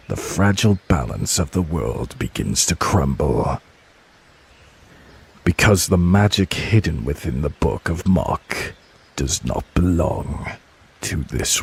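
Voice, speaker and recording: An extremely low-voiced man delivers ominous fantasy narration. His voice is heavily rasped and full of gravel, and he tells the tale with dramatic weight, as in a scripted audio drama.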